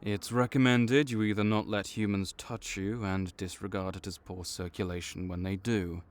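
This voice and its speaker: A man voicing a calm, bureaucratic male vampire counselor who has done the job for decades, speaking in a measured, clinical way in an audio roleplay. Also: fairly low pitch, lightly acted. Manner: measured, clinical, patiently reassuring.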